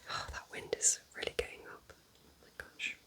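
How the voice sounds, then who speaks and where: A woman whispering gently and unhurriedly in an ASMR style, rambling softly as she recommends things close to the microphone. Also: normal speed. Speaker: ASMR host playing a kind witch recommending books.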